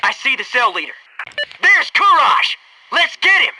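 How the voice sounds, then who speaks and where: A man's voice: extremely high pitch, sing-song, measured pace, background noise, full character voice. An American man voicing a wisecracking, gung-ho special forces soldier in a video game, with a sarcastic, informal delivery. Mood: urgent, alert. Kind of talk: video game combat barks and quips.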